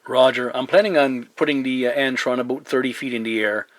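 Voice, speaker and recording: A man from Newfoundland talks as a CB operator at his radio desk, recorded by a camera. His speech is polite and halting, with radio procedure words, at a middling pitch in a dry, close room sound. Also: dry recording. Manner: hesitant, polite, spells in phonetic alphabet. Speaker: CB radio operator and video maker. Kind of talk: casual radio contact chat with radio protocol. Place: home radio desk, indoors. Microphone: camera pointed at the radio.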